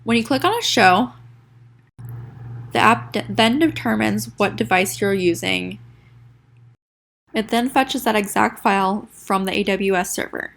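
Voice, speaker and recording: A woman's voice: mid-range voice, unhurried, creaky, long pauses, fairly bright tone. A sick young woman with a nasal, stuffy voice, reading her class presentation from a script and stumbling now and then. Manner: reads a prepared script with small stumbles and restarts. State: sick, congested. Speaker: student presenter.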